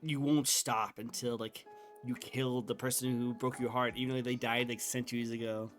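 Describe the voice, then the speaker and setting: A man's voice: medium pitch, little pitch movement, normal speed, fairly bright tone. A young man hosting a casual interview, talking with enthusiasm, many fillers and restarts as he rambles through ideas. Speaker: interview host. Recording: faint music underneath.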